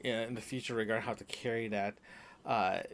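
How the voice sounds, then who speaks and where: A man's voice: on the low side, fairly even intonation, fairly quick, slight background noise. Rambling man talking to his mic. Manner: rambling, many restarts and fillers. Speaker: podcast host. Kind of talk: casual solo audio diary. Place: apartment room.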